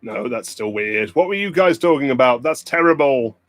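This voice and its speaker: A man's voice: mid-range voice, expressive, normal speed, no room sound. A man with a British RP accent hosting a pub quiz livestream, chatting and joking with viewers. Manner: playful, joking banter with the chat. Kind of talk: casual livestream chat with viewers.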